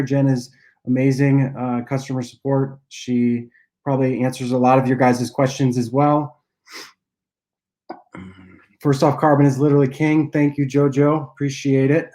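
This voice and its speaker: A young man with a nasal voice from a cold, casually answering viewer questions on a livestream and often clearing his throat. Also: medium pitch. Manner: relaxed, loose delivery with frequent uhs and throat clearing. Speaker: app cofounder and dietitian hosting a Q&A livestream.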